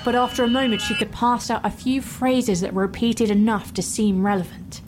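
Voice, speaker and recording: A woman narrating a fantasy story in a measured, wry storytelling manner. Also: fairly high pitch. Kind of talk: dramatic fan fiction narration. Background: music.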